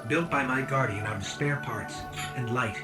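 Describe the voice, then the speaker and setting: A man's voice: mid-range voice, moderate pace, lightly acted. A man voicing a wry, encouraging robotic companion spirit in a video game, conversational and earnest. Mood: gentle, encouraging. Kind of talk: cinematic video game voice acting. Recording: music behind the voice.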